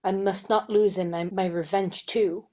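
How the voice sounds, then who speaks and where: A woman's voice: mid-range voice, steady tone, unhurried, natural voice. Indignant, vengeful parson played by a woman, slightly muffled. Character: wounded, vengeful male parson in Restoration comedy. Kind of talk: period stage comedy acting.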